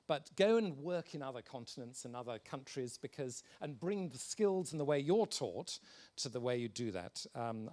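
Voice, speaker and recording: A man in his sixties giving an informal conference talk with career advice, speaking in an emphatic, encouraging way as a senior professional. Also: mid-range voice.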